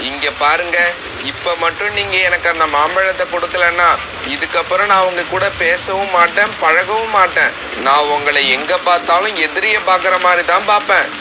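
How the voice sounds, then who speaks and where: A man voicing an envious, demanding young male bird in an animated children's story, pleading and pushy. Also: sits high, normal speed, noisy background, close to an everyday voice. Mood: threatening.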